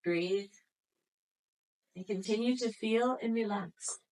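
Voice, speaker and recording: A woman guiding a floor exercise class as instructor, giving slow, gentle, soothing cues. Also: mid-range voice, rough recording. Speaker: yoga instructor.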